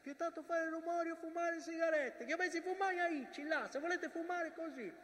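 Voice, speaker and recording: A man's voice: very high voice, a little fast, fairly bright tone. A man acting a nervous, blunt young infantryman in a stage war drama. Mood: urgent warning. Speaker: stage actor. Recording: reverberant theatre hall, clear background noise.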